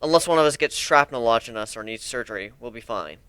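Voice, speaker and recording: A man giving an expressive, wry dramatic reading of a first-person story as an amateur narrator. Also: mid-range voice, fast talker, clear, resonant tone, no room sound.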